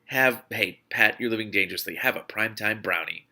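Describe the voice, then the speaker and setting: A man's voice: lowish voice, fairly quick, vocal fry, bright, forceful tone, full character voice. A man reading the part of an easygoing, hospitable male colleague from a novel, casual and teasing.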